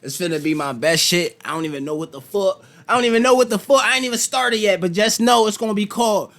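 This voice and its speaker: A young rapper man with a Philadelphia accent talks casually and animatedly, his voice sitting a little higher than most men's. He tells his stories in loose bursts, and his voice jumps up when he gets excited. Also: fast. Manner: animated, laughing storyteller. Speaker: rapper guest. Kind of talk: casual podcast chat.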